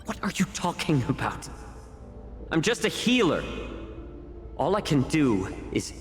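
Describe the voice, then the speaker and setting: Wry game healer: unhurried, measured, quietly defiant, long reverb with music underneath. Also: male voice, on the high side, big pitch swings. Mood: weary, stern. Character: composed, wry male fox-folk healer, quietly defiant. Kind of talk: dramatic video game voice acting.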